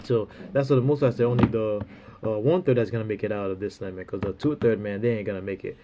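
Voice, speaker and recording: A man with a stuffy, runny nose giving a rambling spiritual talk, halting and full of fillers, often ending phrases with "man". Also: fairly low pitch, steady tone, background noise. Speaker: religious speaker.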